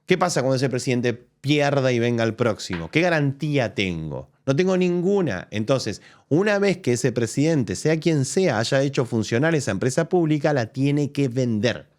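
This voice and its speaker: A man's voice: mid-range voice, normal speed, few pauses. A man with an Argentine accent hosting a live show, arguing his points in casual commentary with frequent restarts. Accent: Argentine Spanish. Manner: animated, argumentative, thinks aloud with restarts. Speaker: political commentator host. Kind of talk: casual commentary reacting to a press conference.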